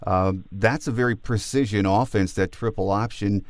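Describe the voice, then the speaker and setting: An American man hosting a sports podcast, speaking in a measured, conversational way as he interviews and comments. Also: on the low side, steady tone, dry recording. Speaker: podcast host.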